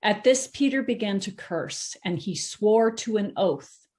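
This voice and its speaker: A woman's voice: mid-range voice, expressive, a little slow, darkish tone. A woman narrating a scripture passage in a church drama, reading expressively.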